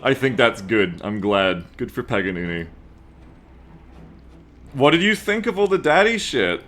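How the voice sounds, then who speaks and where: A man's voice: medium pitch, very animated, measured pace, fairly bright tone, slight background noise. A man hosting a game stream, chatting casually about the story in sweary, colloquial banter.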